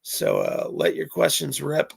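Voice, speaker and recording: A man with a hoarse voice chatting casually with viewers on a live stream, rambling with many filler pauses. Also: a little high, huge pitch swings.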